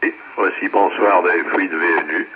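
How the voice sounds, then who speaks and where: A man's voice: low voice, fairly even intonation, moderate pace. A man chatting casually as an amateur radio operator over a two-way radio. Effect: two-way radio transmission. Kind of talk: casual ham radio chat. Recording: narrowband radio relay, faint static.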